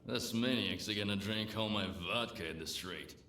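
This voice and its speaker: A man playing a world-weary, pragmatic bartender in a lawless zone, with gruff, slangy video game dialogue. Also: fairly low pitch, normal speed, bright, forceful tone. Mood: wry. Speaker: bartender character. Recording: roomy echo with noisy game ambience.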